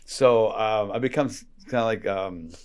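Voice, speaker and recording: A man in casual conversation as a guest, telling stories in an animated way and acting out bits of dialogue. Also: fairly low pitch, moderate pace, clear, resonant tone. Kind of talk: casual chat.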